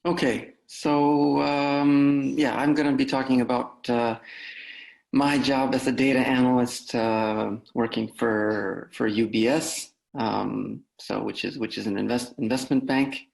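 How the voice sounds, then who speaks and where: A man in his thirties with a first language of Polish talks about his work in a relaxed way over a video call. His voice has a gravelly, fry-laden edge. He sits at a middling pitch and keeps breaking off with fillers and restarts. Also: measured pace, low-quality recording. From Poland. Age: 30s. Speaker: guest speaker, data analyst.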